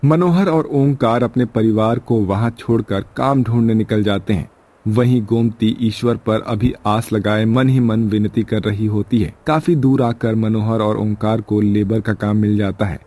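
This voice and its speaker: A man's voice: on the low side, steady tone, a little slow, mellow. A man narrating a scripted moral story in Hindi storytelling style.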